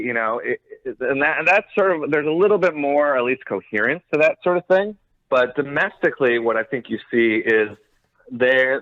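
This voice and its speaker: A man's voice: mid-range voice, no echo. A man giving analysis as an interview guest, tentative and often restarting as he thinks aloud. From United States. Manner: tentative, hedging, thinking aloud with repeated starts. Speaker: interview guest, journalist and author. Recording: muffled, thin phone-like line.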